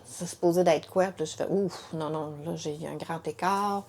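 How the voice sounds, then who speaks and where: Middle-aged woman, Quebec French accent, emotional personal testimony, halting delivery with repeated words and fillers. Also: fairly low pitch, fairly even intonation, warm tone, a little hiss or hum. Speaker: mother telling her story.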